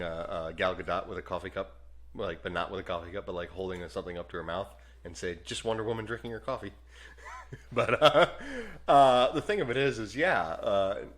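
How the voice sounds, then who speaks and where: A man co-hosting a casual podcast, laid back and rambling, often chuckling between his points. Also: deep voice. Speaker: podcast co-host. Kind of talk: casual movie review chat.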